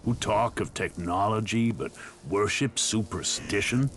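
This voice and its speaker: Deep-voiced, ruthless wasteland boss. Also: male voice, measured pace, a bit bright, a little hiss or hum. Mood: boastful, scornful. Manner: menacing, boastful, blunt. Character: ruthless gang boss duke ruling a wasteland town. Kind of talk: video game voice acting.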